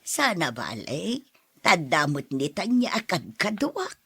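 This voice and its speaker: A woman playing a remorseful, frail elderly grandmother in a radio drama, with a husky, breathy voice. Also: sits low, sing-song, takes it slowly, a bit bright, full character voice. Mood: reassuring, tender. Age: older. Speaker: drama actor.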